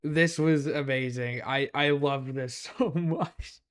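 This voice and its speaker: A man's voice: mid-range voice, fairly even intonation, slow, very noisy. A young man giving informal video commentary as a host, with music behind him. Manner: slangy, sweary.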